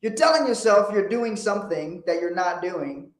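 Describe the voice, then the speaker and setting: A man's voice: sits high, lively intonation, normal speed, roomy sound, slight background noise, low-quality recording. A man from Liberia, hosting a motivational livestream in a blunt, profane, emphatic way. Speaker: host.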